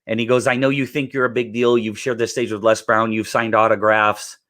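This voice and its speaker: A middle-aged man with a raspy voice from recent illness, giving an emphatic live training on real estate networking and often clearing his throat. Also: fairly low pitch, little pitch movement, brisk, fairly bright tone.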